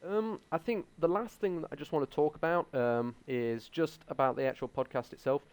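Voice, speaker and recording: A man's voice: a little high, moderate pace, a little mellow, clean tone. A young man hosting a casual tech podcast, talking hesitantly with many ums. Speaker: podcast host.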